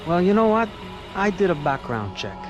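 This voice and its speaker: An actor playing a brisk, probing male ally in a video game, insistent and full of questions. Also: sits high, sing-song, highs cut off, music in the background.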